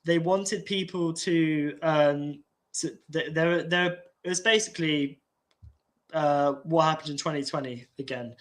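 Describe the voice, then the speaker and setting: A man, nasal and congested, talking in a slow, halting way with many restarts and fillers. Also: on the high side, fairly bright tone. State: congested from an infection. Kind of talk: casual rambling talk.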